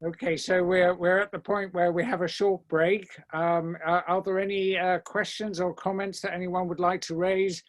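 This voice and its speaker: A man hosting an online event informally, speaking in a hesitant, halting and courteous manner. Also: high-pitched, flat delivery, no room sound. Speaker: event host. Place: online video call.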